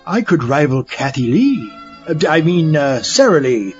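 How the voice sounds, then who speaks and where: High-pitched, excitable eccentric mad scientist. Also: male voice, a little slow. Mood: gleeful scheming. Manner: fussy, pompous, excitable, repeats himself. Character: gleeful, pie-obsessed, amoral eccentric older mad scientist. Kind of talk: comedic audio drama acting. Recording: dull lo-fi audio with music behind.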